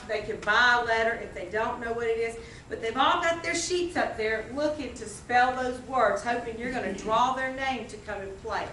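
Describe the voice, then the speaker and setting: A woman's voice: a little high, fairly bright tone. Chatty woman teacher, distant and roomy. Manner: chatty, restarts sentences often. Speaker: teacher presenting at a training. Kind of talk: classroom demonstration talk. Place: school meeting room. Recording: roomy, distant mic, faint noise.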